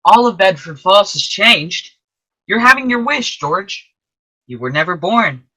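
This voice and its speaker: Earnest apprentice angel for radio drama, played by an actor: forceful, unhurried, very lively pitch, emphatic. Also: rough recording. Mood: excited, explaining. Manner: animated, emphatic, deliberate. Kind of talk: old-time radio play acting with narration to listeners.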